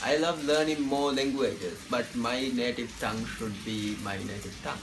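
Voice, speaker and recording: A man's voice: medium pitch, normal speed. A young man from the Maldives, a university student giving opinionated answers in a casual interview and repeating words while he thinks. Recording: noisy with frying sizzle behind.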